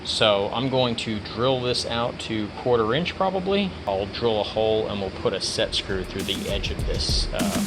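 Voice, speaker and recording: A man's voice: fairly low pitch, lively intonation, normal speed, few pauses, over music. A man giving a casual, hands-on workshop tutorial, explaining step by step in a plainspoken way. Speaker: DIY car restoration host.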